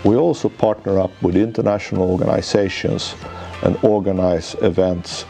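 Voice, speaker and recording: A man in his forties, a managing director and economics professor, giving a formal, measured scripted presentation in an office lounge. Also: low voice, fairly even intonation, creaky, dark tone. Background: faint background music.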